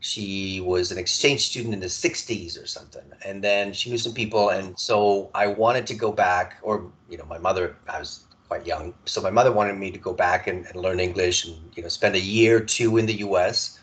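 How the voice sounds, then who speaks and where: Male guest, 40s, from Serbia; low voice, rambling, halting conversational telling. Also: fairly even intonation, a bit bright, poor audio. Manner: halting, many restarts and repeated words. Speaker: podcast guest. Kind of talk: casual conversational storytelling.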